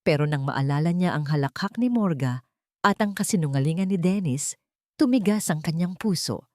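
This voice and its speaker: Female Filipino audiobook narrator, fairly low register, scripted drama delivery, very dry recording. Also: animated, normal speed, a little mellow, dry recording.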